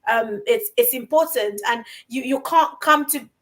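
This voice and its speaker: Woman host, high voice, keeps repeating stuff for emphasis, casual podcast chat. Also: a little slow. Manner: emphatic, repeats phrases for stress. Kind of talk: casual chat about confidence.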